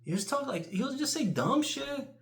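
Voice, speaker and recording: A man with a New York accent telling casual, rambling stories in an animated, crude way, swearing freely. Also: very high voice, moderate pace, echoey room. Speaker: YouTuber.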